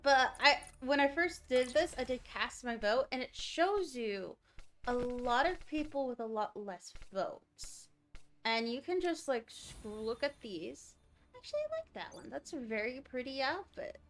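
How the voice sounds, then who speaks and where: Unhurried woman streamer with lively, swooping pitch. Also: faint music behind. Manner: chatty, thinking aloud, reacting to what is on screen. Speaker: game streamer. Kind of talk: casual livestream game commentary, chatty.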